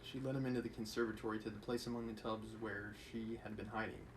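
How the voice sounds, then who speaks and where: A man's voice: on the low side, level tone, fast talker, slight background noise. A man reading a classic novel aloud on a livestream, with casual asides to his chat. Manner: casual, reads with light character voices and laughs between asides. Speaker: livestream reader.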